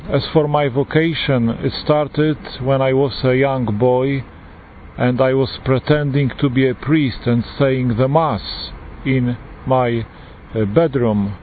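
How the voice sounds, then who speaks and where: A man in his forties with a Polish accent, a priest giving an earnest, unhurried personal testimony with frequent pauses. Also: mid-range voice, fairly even intonation. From Poland. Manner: earnest, unhurried, pausing often. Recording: tinny phone-like line with faint hiss.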